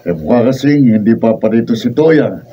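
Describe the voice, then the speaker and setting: A man's voice: medium pitch, expressive, moderate pace, warm tone, distant mic, a little hiss or hum, natural voice, low-quality recording. A Filipino man acting in a radio drama as an easygoing, considerate village salt worker. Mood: mild, concerned.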